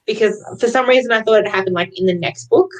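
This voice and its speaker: A woman's voice: on the high side, fast, low-quality recording. A woman co-hosting a book club, chatting casually about novels and often giggling as she talks. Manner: giggly, often laughing while talking.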